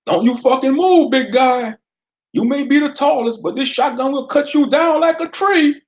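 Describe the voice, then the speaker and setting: A man voicing an aggressive sheriff's deputy who yells and barks orders. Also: very high voice, moderate pace, highs cut off, pitched above the performer's own voice. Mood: taunting. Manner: yelling, barking orders. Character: aggressive male sheriff's deputy, loud and hostile.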